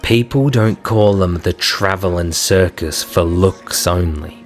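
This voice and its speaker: A man's voice: deep voice, takes it slowly, faint music behind. A man voicing a skeptical, protective crocodile in an audio drama, with a wry, colloquial way of talking. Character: worried, skeptical male crocodile, protective best friend. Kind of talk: fan audio drama acting.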